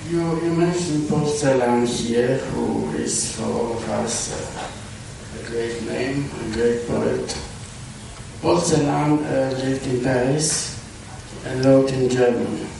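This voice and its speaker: A man speaks slowly, in measured, thoughtful sentences. His voice has a gravelly edge. The recording comes from a distant mic in a very echoey hall with clear background noise. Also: medium pitch. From Romania. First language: Romanian. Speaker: writer, conference guest. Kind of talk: onstage conversation. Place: conference hall.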